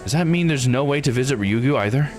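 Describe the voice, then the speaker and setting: A man's voice: medium pitch, lively intonation, quick pace, a little mellow, soft background music, natural voice. Man playing a troubled, questioning young immortal. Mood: dejected. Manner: hesitant, questioning.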